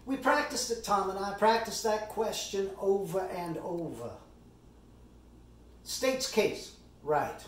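A man performing a stage monologue as an earnest, impassioned middle-aged defence lawyer, speaking deliberately with dramatic pauses and emphatic oratory. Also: high voice, animated, slight background noise. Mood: measured, firm. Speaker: amateur actor performing a monologue. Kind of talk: theatrical courtroom summation monologue. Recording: roomy echo, distant microphone.

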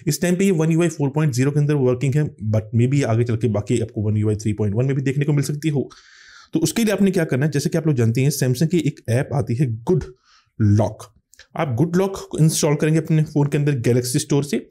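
A man's voice: fairly high pitch, normal speed, dark tone. A man hosting a tech channel, explaining phone features step by step in tutorial commentary.

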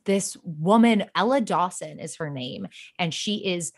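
A woman's voice: lowish voice, lively intonation, measured pace. A young woman guest on a podcast, chatting casually in an effusive, slangy way with lots of "like". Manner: effusive, slangy, peppers talk with "like". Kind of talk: casual chat.

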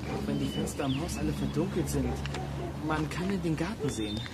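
A man's voice: fairly high pitch, lively intonation, fairly quick, close to an everyday voice. An actor playing a wary, grumbling boy detective in a children's radio play. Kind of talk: German audio drama acting. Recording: loud background noise, outdoor ambience.

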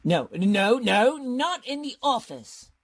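A woman's voice: on the high side, big pitch swings, slow, breathy edge, exaggerated voice. A woman playing a bumbling, hesitant male college professor in an old-time style radio comedy. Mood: flustered, alarmed. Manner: hesitant, dithering.

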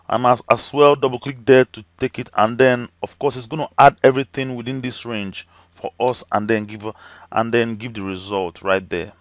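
Male teacher, tutorial voice-over, slightly hoarse and cracking, lots of "okay"s, dry close sound. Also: medium pitch, no room sound. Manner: chatty, many fillers and "okay" checks. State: voice slightly cracking, losing his voice. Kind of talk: screen-recorded software tutorial.

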